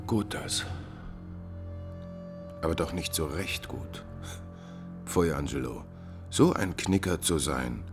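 Ein Schauspieler spielt in einem Hörspiel der 1950er einen intriganten, kaltblütigen Höfling, der berechnend, bedächtig und einschmeichelnd spricht. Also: male voice, a bass voice, animated, over music, full character voice. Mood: coldly mocking. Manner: calculating, coaxing, deliberate. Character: scheming, cold-blooded male courtier, smooth servant of a prince. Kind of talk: 1950s German radio drama acting.

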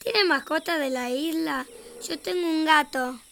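A woman's voice: high-pitched, moderate pace, gravelly, fairly bright tone, a little hiss or hum. A woman playing a sweet, curious little city girl in children's radio theater, wide-eyed and inquisitive, with an Argentine accent.

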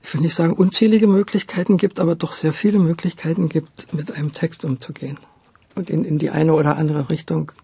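An older man looks back in an interview, in a husky, mellow voice that often breaks into creak. He pitches a little high for a man and speaks reflectively, hesitating and rephrasing as he thinks. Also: steady tone, fairly quick, dry recording. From Berlin, Germany. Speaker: interviewee, radio drama director. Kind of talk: reminiscing in a conversational interview.

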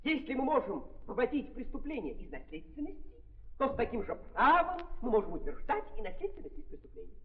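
An actor playing a smug, dim-witted prosecutor, orating pompously and haltingly in a theatrical 1930s radio drama style. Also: male voice, extremely high pitch, wildly swooping pitch, exaggerated voice. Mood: floundering, self-important. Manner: pompous, halting, repeats filler phrases. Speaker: prosecutor in a courtroom. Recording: dull old 1930s recording.

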